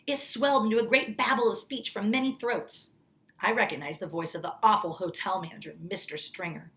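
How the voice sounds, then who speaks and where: A woman's voice: medium pitch, a little fast, fairly bright tone. Woman reading a children's story aloud. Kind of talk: children's story read-aloud with brief asides.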